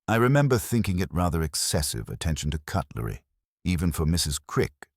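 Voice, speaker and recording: A man's voice: sits low, very animated, a little fast, no room sound, studio quality, full character voice. A man voicing a fussy, precise woman who gives evidence in formal, prim wording. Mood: prim, confiding. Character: fussy, precise civic-circle woman confessing to a police inspector.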